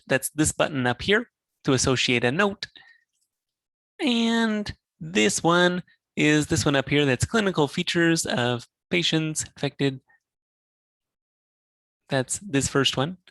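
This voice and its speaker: A man presenting a software demo, calmly walking through each click of a screen share. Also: fairly high pitch, no echo. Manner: relaxed, explanatory, walks through clicks step by step.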